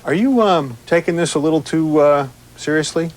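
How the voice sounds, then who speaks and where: A man asking questions in a conversational interview, with a wry, gently teasing manner. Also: on the high side, moderate pace.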